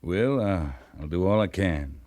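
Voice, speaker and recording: An American actor playing a level-headed, humane cavalry captain, firm but fair, in a western radio drama. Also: male voice, sits low, animated, unhurried, a little mellow, no room sound, studio quality. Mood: calm, serious.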